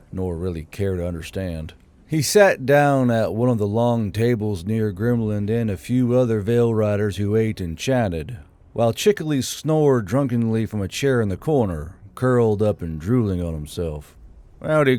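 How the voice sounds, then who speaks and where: A man's voice: lowish voice, lively intonation, a little slow, warm tone, dry recording. A man hosting and narrating a scripted story in audiobook style. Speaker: narrator and channel host.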